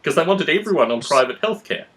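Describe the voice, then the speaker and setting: A man's voice: fairly high pitch, expressive, fast talker, a bit bright. Analytical man talking politics at length. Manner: analytical, long-winded, explanatory. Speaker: podcast host. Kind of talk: conversational political discussion.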